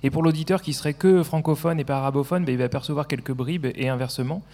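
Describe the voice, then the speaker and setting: Creaky-voiced hesitant male radio host. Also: on the high side, fast talker, a little mellow, long reverb tail. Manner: hesitant, many filler sounds. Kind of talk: live radio interview.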